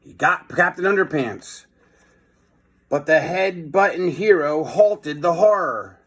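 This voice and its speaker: A man hosting a children's read-aloud, moving between expressive storybook reading and informal, hesitant asides. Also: a little high, slow.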